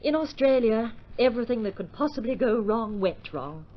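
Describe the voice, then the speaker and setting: Excitable high-voiced opera diva, vintage radio. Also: female voice, normal speed. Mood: carefree, confiding. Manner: effusive, theatrical, carefree. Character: excitable, extravagant young opera soprano, woman. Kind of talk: 1950s-style radio drama acting. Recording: dull, noisy vintage radio recording.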